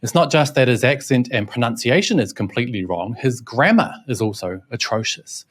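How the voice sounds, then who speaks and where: A man's voice: medium pitch, animated, fairly quick. A young man hosting a scripted news commentary, mocking and scornful in tone. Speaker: host, reporter. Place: Shanghai.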